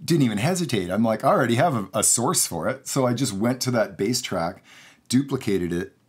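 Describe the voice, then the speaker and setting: A man's voice: medium pitch, lively intonation, brisk, husky. A man in his forties giving a casual, enthusiastic studio tutorial to camera as a mixing engineer. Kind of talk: casual instructional talk to camera. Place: home recording studio, indoors.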